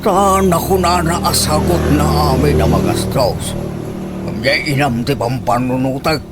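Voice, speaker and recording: A man's voice: on the high side, steady tone, measured pace, close to an everyday voice. A man playing a kindly, cautious uncle. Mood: concerned. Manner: advising, concerned. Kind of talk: radio drama acting. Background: loud music.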